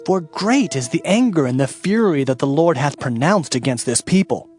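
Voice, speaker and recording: A man's voice: a little high, animated, moderate pace, a little mellow, natural voice. A man playing a solemn Hebrew prophet proclaiming warnings in a declamatory dramatized audio Bible. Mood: grave, urgent. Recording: background music under the voice.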